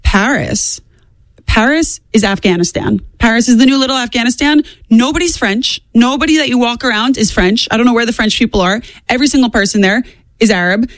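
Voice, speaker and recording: A young woman in her twenties talks politics as a show guest. Her voice sits a little high and moves very quickly, stressing points by repeating them. The sound is dry and close. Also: no room sound. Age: 20s. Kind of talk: opinionated political talk.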